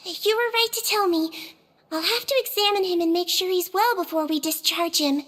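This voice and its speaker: A woman voicing a small, kindly girlish head nurse in a video game, gentle, reassuring and instructive. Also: very high-pitched, moderate pace. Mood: caring, reassuring. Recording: music under the voice.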